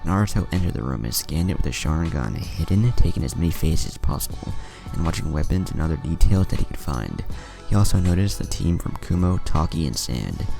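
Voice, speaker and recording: A man's voice: extremely low pitch, sing-song, a little fast, vocal fry. A man narrating a scripted fan fiction story, with music playing behind him. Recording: music under the voice. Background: background music.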